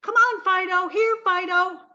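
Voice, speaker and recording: A woman playing a naive, soft-hearted, scatterbrained young woman in a 1940s-style radio comedy. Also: very high-pitched, steady tone, measured pace, dull audio, full character voice. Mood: pleading.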